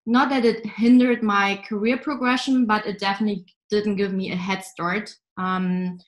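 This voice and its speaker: A woman in her twenties from Germany speaks into her laptop webcam in an interview. Her pitch sits in the middle, and she talks in a measured, thoughtful way with many ums. The room around her sounds roomy and echoey. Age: 20s. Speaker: interview guest, investor. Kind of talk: answering interview questions. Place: home room by a window.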